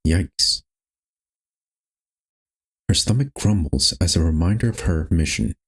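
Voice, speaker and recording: Deep-voiced man narrating a spooky story. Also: animated, a little slow, breathy edge. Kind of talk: scripted storybook-style horror game narration.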